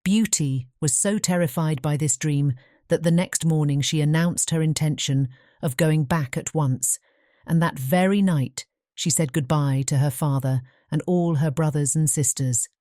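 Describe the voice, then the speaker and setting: A woman narrating a scripted fairy tale audiobook as a measured storyteller. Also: deep voice, dark tone, no room sound, clean studio sound.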